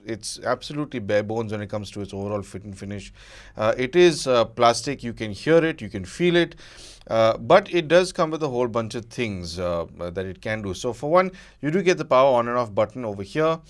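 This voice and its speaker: A man giving a conversational product review as a tech reviewer, measured and often pausing or repeating words as he goes. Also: mid-range voice.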